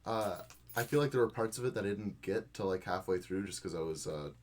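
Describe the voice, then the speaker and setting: A man's voice: deep voice, a little fast, slight background noise. A man in casual conversation, talking in a hesitant, rambling way with lots of filler likes. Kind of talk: casual chat.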